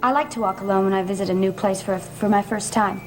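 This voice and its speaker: A woman's voice: mid-range voice, steady tone, fast, a little mellow, soft background music, lightly acted, rough recording. An actress playing a mysterious, aloof young woman with an enigmatic way of talking in a gothic television soap. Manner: enigmatic, answers questions with cryptic remarks.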